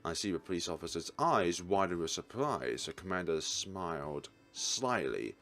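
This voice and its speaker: A man narrates a visual novel aloud in a low voice. His reading is deliberate and theatrical, with plenty of rise and fall. Soft music sits behind him. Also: lively intonation. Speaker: let's player reading aloud.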